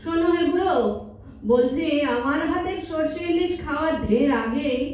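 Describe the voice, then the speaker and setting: A woman's voice: high-pitched, moderate pace, natural voice. A woman playing a feisty old wife who fondly scolds and banters in a stage audio drama. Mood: fondly defiant. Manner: scolding, teasing, stubborn. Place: multipurpose hall stage. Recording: distant echoey hall, dull, faint noise.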